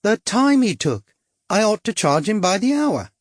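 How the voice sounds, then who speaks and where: A man's voice: sits high, very animated, normal speed, no room sound, clean studio sound, voice pushed up. A man voicing a brassy, flirtatious London woman with a Cockney accent who drops her H's. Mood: coaxing.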